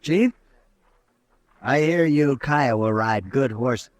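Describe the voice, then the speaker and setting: An actor playing a brash, mocking young army lieutenant in a 1950s radio drama, swaggering and quick to laugh at others. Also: male voice, medium pitch, no room sound, lightly acted. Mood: taunting. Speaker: cavalry lieutenant.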